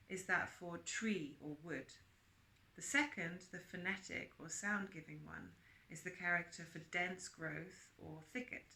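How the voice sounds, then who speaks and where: Bright-voiced woman reading aloud, roomy sound. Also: lowish voice. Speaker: reader and presenter of book extracts. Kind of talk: read-aloud with chatty asides and character quotes.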